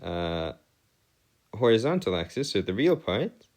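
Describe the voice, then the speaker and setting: A man giving an explanatory maths lesson as a tutor, with formal, wordy phrasing and halting, hesitant delivery. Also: lowish voice, sing-song, a little mellow. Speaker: math tutor. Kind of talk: explanatory maths lesson over written working.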